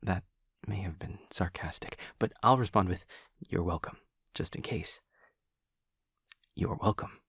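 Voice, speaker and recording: Pria memerankan iblis muda canggung bersuara rendah serak. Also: male voice, low voice, creaky, fairly bright tone, breathy edge, dry recording, clean studio sound, exaggerated voice. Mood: literal, matter-of-fact. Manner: halting, stammering, formal and overly literal. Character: awkward, earnest male demon classmate who senses emotions. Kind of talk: scripted audio roleplay to the listener.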